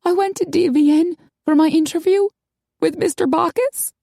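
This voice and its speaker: Audiobook casting: woman performer as sweet, wide-eyed young vampire woman, high girlish voice, emotional, very dry sound. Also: normal speed, creaky, mellow, no echo. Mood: tearful, horrified. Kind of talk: audiobook character acting.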